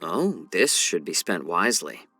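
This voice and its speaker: An actor playing a calm, wry, courteous male healer and strategist in a video game, with a measured, genteel, gently teasing delivery. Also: low voice, husky, no room sound, faint music behind, heavily acted. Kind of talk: scripted video game character voice lines.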